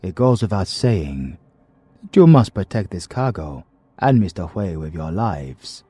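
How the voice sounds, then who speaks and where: A man's voice: low voice, sing-song, unhurried, dark tone, husky, dry recording, exaggerated voice. A man voicing a stern gang lieutenant. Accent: British. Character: stern male crime boss's associate.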